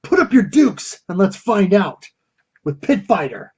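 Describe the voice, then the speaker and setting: A man's voice: high voice, big pitch swings, a little slow, husky, dull audio. A man hosting a retro video game review, reading scripted narration in a theatrical, wordy and wry manner.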